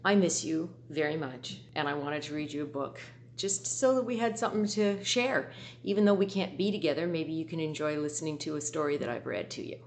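A middle-aged woman reading aloud to children in an expressive storytelling manner at home in a living room. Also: low-pitched, slight background noise. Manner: slow, expressive, animated.

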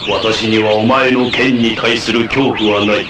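A man's voice: fairly low pitch, steady tone, a little slow, noisy background, lightly acted. A man voicing a noble, self-sacrificing blind warrior leader in a 1980s anime, with a solemn, formal, declamatory delivery. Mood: stern, confident. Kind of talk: 1980s anime martial-arts voice acting.

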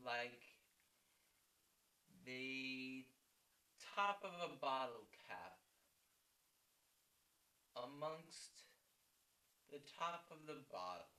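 A man in his twenties playing a grandiose stage orator in a theatrical ASMR role play, declaiming pompously with dramatic pauses. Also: mid-range voice, expressive, sharp tone, heavily acted. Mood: solemn, pondering. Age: 20s. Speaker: ASMR performer. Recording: echoey small room, distant mic.

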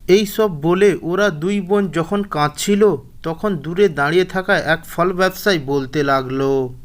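High-pitched male storybook narrator. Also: measured pace. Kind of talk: scripted children's bedtime story narration.

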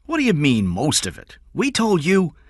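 A man's voice: medium pitch, sing-song, normal speed, a bit bright, no echo, studio quality, voice pushed up. A man voicing a cold male kidnapper in an audiobook, threatening and commanding in clipped phrases. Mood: cold, menacing. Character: cold, menacing male kidnapper giving orders.

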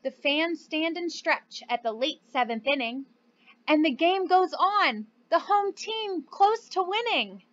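High, bright young woman teaching children. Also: expressive, unhurried, muffled recording. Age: young adult. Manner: encouraging, addresses young children simply and clearly. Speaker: preschool teacher. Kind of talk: children's lesson and storybook read-aloud.

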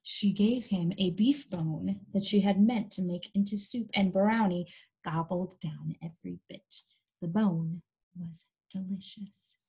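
A young woman reading a storybook aloud to small children in an animated, coaxing way, pausing to ask them questions. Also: fairly low pitch, husky, low-quality recording. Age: young adult. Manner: animated, coaxing, exaggerated delivery for young listeners. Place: small indoor room.

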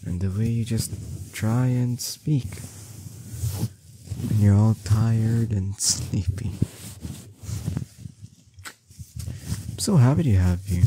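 A young man playing a strong, doting boyfriend in an intimate roleplay audio drama, coaxing and teasing affectionately with drawn-out words. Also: fairly low pitch, mellow, a little hiss or hum, close to an everyday voice, poor audio. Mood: tender, adoring. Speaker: voice actor.

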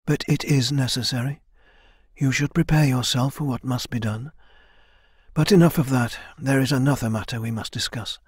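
An ancient female mentor from a fantasy audiobook, voiced by a man, weary and grave. The speech is solemn, quiet and measured, at a middling male pitch in a dry recording. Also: slightly rough, no room sound, natural voice. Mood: firm.

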